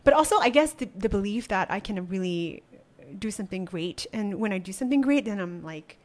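A woman in her thirties from Malaysia, a startup founder answering interview questions reflectively with many pauses and restarts, speaking into a desk podcast microphone in a small studio. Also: medium pitch, lively intonation. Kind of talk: conversational interview. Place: small studio with wooden wall.

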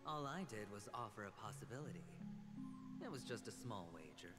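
A nonchalant young gambler, voiced by an actor in a video game, toys with his questioner. His sardonic lines swing playfully in pitch. The sound is reverberant, with loud music underneath. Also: lively intonation, fairly quick, close to an everyday voice. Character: smooth, cocky young gambler facing interrogation.

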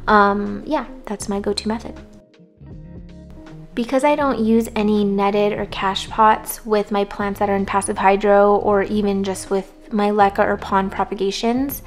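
Hoarse woman giving plant care tips. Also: mid-range voice, a little slow, soft background music. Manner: relaxed, rambling with fillers. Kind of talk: casual voice-over talk.

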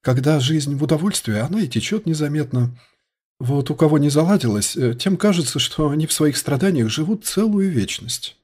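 A man reading the part of a contented older wife, gently philosophical, in an audiobook. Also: fairly high pitch, expressive, a little fast, slightly rough, voice pushed up. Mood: content, reflective.

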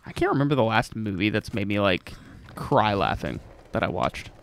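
A man's voice: on the low side, lively intonation, normal speed, creaky. A young adult man hosting a gaming video, chatting casually over gameplay in slangy, informal language with some swearing.

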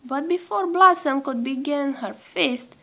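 A woman reading a children's storybook aloud in an expressive, measured storytelling manner. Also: sits high. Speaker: storybook reader.

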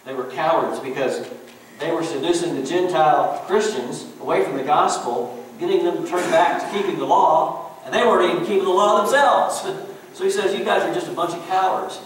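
A man's voice: a little high, moderate pace, a little hiss or hum. Middle-aged male pastor, homespun conversational sermon, echoey distant church room. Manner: folksy, conversational preaching with chuckles and "you know". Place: church sanctuary.

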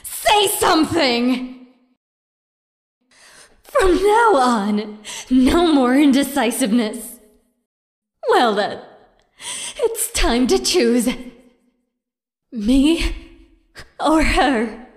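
A woman's voice: high-pitched, very animated, drawn-out words, pauses often, heavily acted. A woman voicing a crazed, jealous yandere schoolgirl in a manga dub, theatrically swinging between sweet cooing and tearful menace. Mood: desperate, demanding. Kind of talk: dramatic manga dub voice acting.

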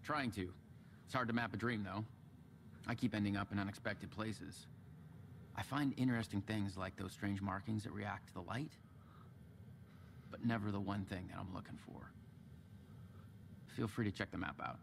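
A man's voice: fairly low pitch, moderate pace, gravelly, pauses often, slight background noise. An actor playing an affable, puzzled lawman stranded in a dream world, in a naturalistic video game style. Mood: puzzled, determined.

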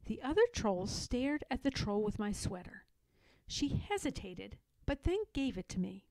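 A woman reading a children's story aloud in an expressive, dramatic way, leaning on key words. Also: a little high, no room sound, low-quality recording. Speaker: storybook reader.

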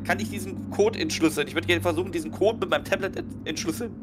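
A man's voice: sits high, fast. A man chatting casually as a player in a tabletop role-playing game. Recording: music behind the voice.

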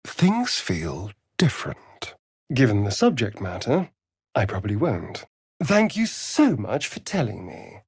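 Vain, flirtatious British vampire rogue for a fantasy game: slow, arch delivery with big swoops in pitch. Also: male voice, huge pitch swings, husky, dry recording, studio quality, full character voice. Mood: sardonic, teasing. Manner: arch, theatrical, drawling, mocking. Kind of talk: theatrical video game character acting.

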